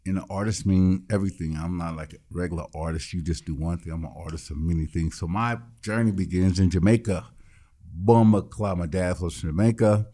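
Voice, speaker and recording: Deep dark-voiced man, punchy streetwise storyteller. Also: a little fast. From Midwestern United States. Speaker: guest musician and producer. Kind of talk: casual storytelling interview. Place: podcast studio.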